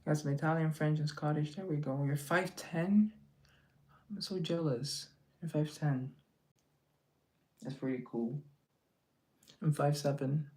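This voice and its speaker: A man's voice: fairly high pitch, measured pace, pauses often, warm tone. A man hosting a casual social media live, reading out viewer comments and answering them in a teasing, joking, chatty way over a phone mic. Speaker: live-stream host.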